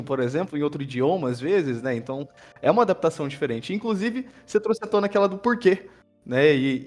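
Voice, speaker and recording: A man's voice: on the high side, darkish tone. A man from Brazil hosting a casual podcast conversation, asking questions in a chatty way with frequent tag questions. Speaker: podcast host.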